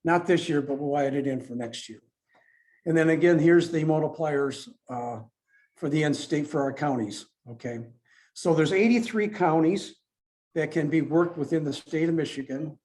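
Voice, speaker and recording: A man giving an informal explanatory presentation as a club speaker, in a halting manner with repeats and self-corrections. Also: on the high side, darkish tone. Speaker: club presenter explaining radio contest rules. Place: club meeting room with a few people present.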